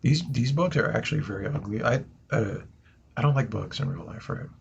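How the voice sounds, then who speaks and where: A man with a naturally low voice chatting casually as he streams a game, often asking "right?" and addressing viewers as guys. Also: animated, brisk, vocal fry, muffled recording, slight background noise. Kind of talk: casual gameplay commentary. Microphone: desk mic he moves around.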